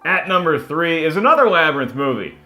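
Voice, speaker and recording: A man's voice: a little high, sing-song, fairly quick, pushed and bright. A man co-hosting a movie show, presenting a countdown with casual banter and playful, showman-like announcing. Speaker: co-host of a movie review show. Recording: music playing behind the talk. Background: music bed.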